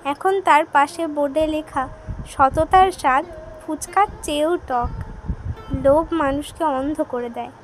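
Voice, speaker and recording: A woman's voice: high-pitched, measured pace, soft background music, poor audio. A woman reading scripted narration for a children's moral cartoon story.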